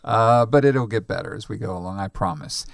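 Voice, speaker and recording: A man's voice: lowish voice, a little fast, creaky, no room sound, studio quality. Chatty joking radio host man. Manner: joking, chatty, many fillers. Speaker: radio morning show host. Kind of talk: casual radio interview. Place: radio studio.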